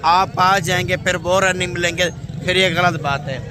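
High-pitched emphatic male market vlogger. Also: fairly even intonation, moderate pace. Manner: emphatic, repetitive, addressing viewers as brother. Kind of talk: vlog addressed to camera. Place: open-air livestock market. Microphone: phone at arm's length. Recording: busy outdoor crowd noise.

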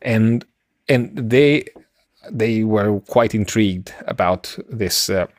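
A middle-aged man co-hosting a science podcast, explaining casually and haltingly, often circling back to rephrase. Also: on the low side, no echo, studio quality. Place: indoor room on a video call. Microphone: close desk microphone, headset.

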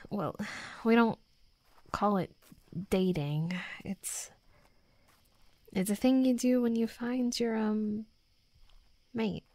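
A woman playing a hot-headed, flustered young female werewolf, a softening bully, in an intimate roleplay audio drama, speaking haltingly and defensively. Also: fairly high pitch, dry recording. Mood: flustered, hesitant. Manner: halting, defensive, teasing, often dropping to soft murmurs.

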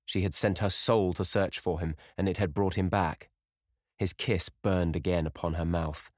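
A man narrating a classic novel in a measured, unhurried way. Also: sits low, steady tone, darkish tone, no echo, studio quality. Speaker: audiobook reader. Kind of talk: scripted narration.

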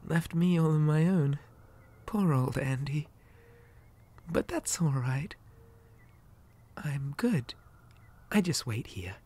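Creepy living doll boy for ASMR horror male actor: mellow, husky half-whisper, falsely sweet, polite, lonely. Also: a little high, expressive, slow pace, long pauses, heavily acted. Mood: lonely, wistful. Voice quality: soft, breathy, often dropping to a whisper. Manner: gentle, unsettlingly polite, lilting childlike address. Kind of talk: ASMR roleplay.

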